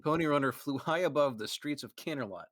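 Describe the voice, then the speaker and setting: A man hosting a comedic fan fiction reading, animated and often laughing. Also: on the high side, fairly quick, no echo. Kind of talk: comedic reading with group commentary.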